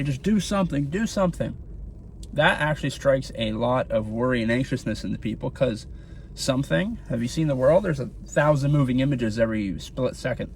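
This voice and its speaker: A man's voice: medium pitch, gravelly, darkish tone, slight background noise. A man around thirty giving casual motivational advice to the camera while driving, talking in a rambling way. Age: 30s. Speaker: vlogger. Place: in a car.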